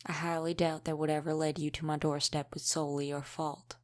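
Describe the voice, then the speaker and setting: A woman playing a centuries-old, world-weary female vampire in an audio roleplay, gruffly protective, speaking in an unhurried, wry, folksy way with dropped g's. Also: low voice, flat delivery, a little mellow, natural voice. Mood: gentle, reassuring. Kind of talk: intimate audio roleplay acting.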